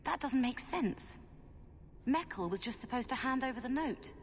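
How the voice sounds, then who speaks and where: An actress playing a young female rogue in a fantasy game, sharp-tongued, sassy and defiant. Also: sits high, expressive, normal speed, in a large hall, slight background noise. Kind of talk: dramatic game dialogue.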